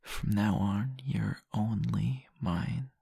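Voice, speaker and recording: Seductive vampire host male actor, ASMR roleplay. Gravelly, husky murmur, possessive and teasing, very dry close-up sound. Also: on the low side, steady tone, mellow, no room sound, heavily acted. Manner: slow, teasing, murmuring, deliberate. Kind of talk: intimate ASMR roleplay addressed to the listener.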